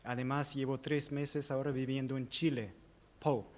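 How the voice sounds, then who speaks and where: A man's voice: mid-range voice, little pitch movement, normal speed. A man from the United States who speaks Spanish as a second language with an American accent, giving a conference presentation with many fillers and self-corrections. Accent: American English accent in Spanish. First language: English. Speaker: invited presenter. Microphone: microphone.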